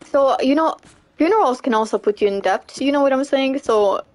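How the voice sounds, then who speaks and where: Young female streamer, slightly high voice, casual, chatty, quick to laugh. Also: expressive. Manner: chatty, laughs often, repeats "you know what I'm saying". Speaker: livestreamer. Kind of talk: casual chat while gaming, reading chat.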